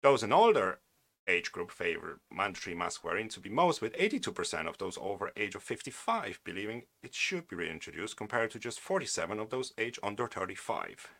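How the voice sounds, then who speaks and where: A man's voice: lowish voice, big pitch swings, fairly quick, vocal fry, a bit bright. A man hosting his own podcast, reading a news article aloud and adding wry, opinionated asides with frequent restarts. English is not his first language. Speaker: podcast host.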